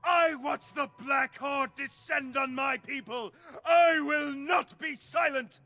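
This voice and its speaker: A crazed, grief-stricken refugee in a fantasy game, preaching doom. The voice is very high and piercing, with a slow, wailing, fearmongering delivery that is often shouted. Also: male voice, exaggerated voice. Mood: defiant, frenzied. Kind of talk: fantasy video game acting.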